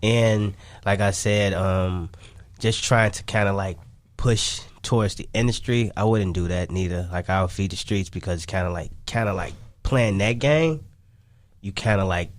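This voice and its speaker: Male artist guest, Chicago accent, lower register, close dry recording, loose slangy interview delivery. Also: moderate pace, no echo. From Chicago, United States. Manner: slangy, repetitive, emphatic phrasing. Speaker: guest, independent music artist. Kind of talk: casual interview talk.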